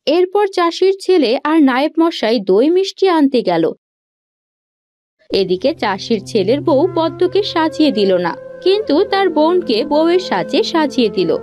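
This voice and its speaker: High-voiced woman narrating over music. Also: big pitch swings, moderate pace, a little mellow. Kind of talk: scripted fairy tale narration. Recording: background music under the voice.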